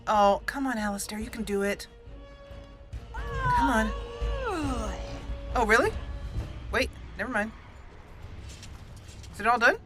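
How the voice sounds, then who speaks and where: A young woman hosting a let's-play, chatting excitedly through a battle and roleplaying dialogue. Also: on the high side, slow, long pauses, music in the background. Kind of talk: casual game commentary and roleplay.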